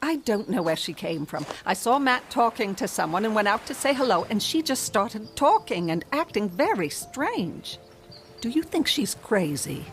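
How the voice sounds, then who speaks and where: An actress playing a cautious, sympathetic wife in a radio drama. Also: female voice, medium pitch, sing-song, normal speed, soft background music. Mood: concerned.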